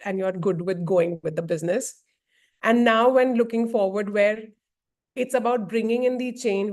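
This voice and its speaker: Woman, from India, webinar moderator, earnest, rambling, lots of "like", video call. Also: medium pitch.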